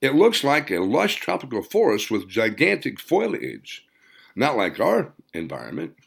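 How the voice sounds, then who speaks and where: A man's voice: medium pitch, wildly swooping pitch, a little slow, creaky, slightly rough, voice pushed up, exaggerated voice. A man voicing an observant, puzzled military man in an audiobook, matter-of-fact and musing. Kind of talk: audiobook character dialogue.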